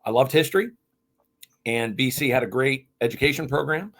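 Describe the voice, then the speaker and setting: A man's voice: mid-range voice, moderate pace, fairly bright tone. A man from Vermont, a guest in a casual interview, telling his story earnestly with many fillers. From Vermont, USA. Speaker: guest, equipment manager.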